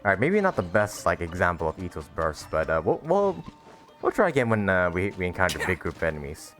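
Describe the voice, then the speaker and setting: Casting: man for casual game commentary, low register, expressive and varied pitch, relaxed think-aloud delivery. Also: a little fast. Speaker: gaming streamer. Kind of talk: casual let's-play commentary. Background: soft game music.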